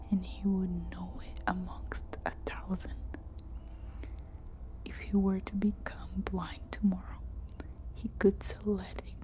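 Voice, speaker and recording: A woman reading a story aloud as whispered ASMR narration, in short, deliberate phrases. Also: mid-range voice, mellow, poor audio. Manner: slow, deliberate delivery in short, separated phrases.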